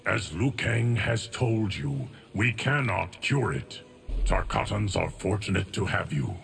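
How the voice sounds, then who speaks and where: A man playing an ancient, solemn, stoic keeper of time in a video game, speaking in measured, formal, grave lines. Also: fairly low pitch, lively intonation, creaky, fairly bright tone, faint music behind, heavily acted. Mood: grave, calm. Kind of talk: video game fight intro dialogue.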